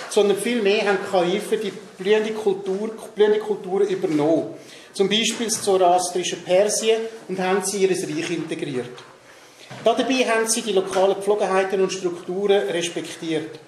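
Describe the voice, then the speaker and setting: A man in his thirties with a Swiss German accent, presenting a prepared talk at a discussion evening, often stumbling over names with ums and restarts. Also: high-pitched. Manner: reads from notes with frequent um and restarts on names. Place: meeting room in a large hall, seated at a table. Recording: roomy hall with faint noise.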